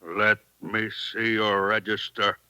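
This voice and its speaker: A threatening radio villain, very sharp and forceful in tone. He speaks slowly and brusquely, with menace, in a dry close sound. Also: lowish voice, level tone, smooth, no echo, heavily acted. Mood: curt, demanding. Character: menacing, curt stranger demanding the hotel register. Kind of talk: 1950s radio acting.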